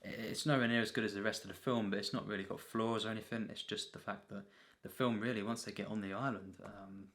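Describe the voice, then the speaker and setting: A man giving an unscripted, rambling film review as a reviewer, in a halting, repetitive way full of filler phrases. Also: lowish voice, steady tone, a bit bright.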